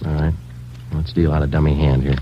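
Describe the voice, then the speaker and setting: An actor playing a terse, no-nonsense police sergeant in 1940s radio style, clipped and matter-of-fact. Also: male voice, a bass voice, level tone, a little fast. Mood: patient, matter-of-fact. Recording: muffled vintage radio recording, music behind.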